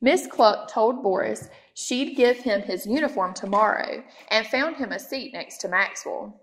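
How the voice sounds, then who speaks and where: Slow-paced woman reading a children's story. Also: a little high, a bit bright, rough recording. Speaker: storytime reader. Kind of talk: children's storybook read-aloud.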